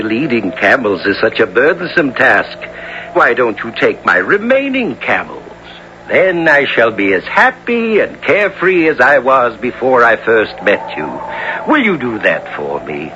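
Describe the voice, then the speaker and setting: Shrewd wise dervish, formal, measured, radio drama, muffled recording, faint noise. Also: male voice, medium pitch, huge pitch swings. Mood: wry. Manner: measured, formal, gently ironic. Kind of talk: 1970s radio drama acting.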